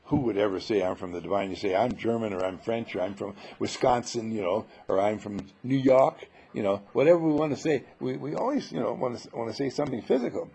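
A man giving an informal spiritual sermon full of rhetorical questions, emphatic and dramatic, with a husky, gravelly voice. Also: fairly low pitch, fairly quick, few pauses, mellow. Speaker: spiritual teacher.

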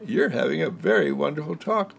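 A man voicing a gentle talking candle. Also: a little high, huge pitch swings, fairly quick, creaky, slightly rough, voice pushed up, exaggerated voice. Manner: soft, soothing, praising.

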